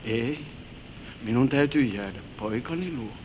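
A gentle old grandfather played by a man reminisces tenderly and solemnly, now and then drifting into earnest moral advice. He speaks as in a vintage radio drama, through a thin, phone-like recording with light hiss. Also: medium pitch, lively intonation, lightly acted. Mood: wistful, sad. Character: gentle old grandfather telling his life story to granddaughter. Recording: tinny, noisy old radio broadcast recording.

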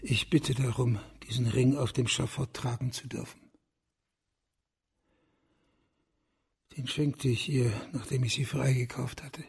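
Proud condemned lover, heavily raspy, creaky, brooding, intense, bitter, radio drama. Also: male voice, medium pitch, fairly even intonation, moderate pace, a little mellow. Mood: grieving, subdued. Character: proud, lovelorn condemned man, big and bitter. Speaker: actor in a radio crime drama. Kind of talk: German radio play acting.

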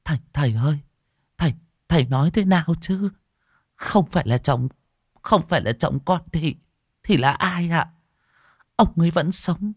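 A frightened old grandmother stammers and trips over her words, her voice pushed high and wavering, as a man puts her on. The sound is dry and close, with no room around it. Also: animated, normal speed, long pauses, slightly rough, no room sound. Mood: pleading.

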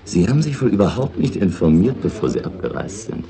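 Deep, gruff, cynical tavern regular. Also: male voice, big pitch swings, fairly quick, mellow, heavily acted. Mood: dry, mocking. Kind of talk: 1960s German radio drama acting.

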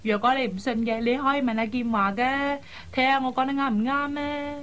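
An actress playing a flighty, blunt young younger sister in a Cantonese radio drama, chattering theatrically and teasingly. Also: female voice, high voice, fairly even intonation, a little fast, a bit bright, a little hiss or hum. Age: young adult.

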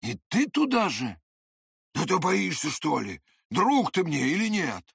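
A man voicing a spiteful, pushy young swindler with an irritable, scornful, excitable manner in an audiobook. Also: fairly high pitch, a little slow, vocal fry, breathy edge, no room sound, studio quality, pitched above the performer's own voice, full character voice. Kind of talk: dramatized audiobook character voice.

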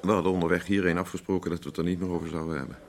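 An actor playing a weary, curt husband in a radio play, gruff and evasive, yet placating in a hesitant way. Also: male voice, low voice, level tone, very fast. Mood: irritated. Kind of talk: Dutch radio play acting.

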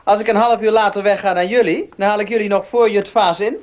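A man's voice: high-pitched, steady tone, fast, lightly acted. An actor in a Dutch radio play playing a cheeky, cocksure young man with a teasing manner. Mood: mocking, teasing. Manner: teasing, cocky. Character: cheeky, cocksure young nephew, teasing his rich aunt.

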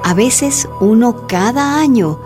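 A woman's voice: on the high side, expressive, slow pace, music in the background. A woman reading scripted narration for a historical radio drama.

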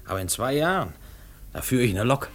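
An actor playing a brash, restless young railway trainee in a 1950s radio play, boastful and breezy. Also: male voice, mid-range voice, very animated, brisk, fairly bright tone, soft background music. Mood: cocky, restless. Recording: 1950s radio recording, slightly distant.

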